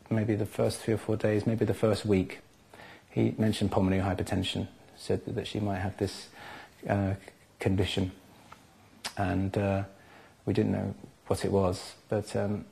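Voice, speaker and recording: A man, giving a halting personal account in an interview at home. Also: lowish voice, vocal fry, warm tone. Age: 30s. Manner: hesitant, halting. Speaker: father telling his family's story. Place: living room at home, indoors.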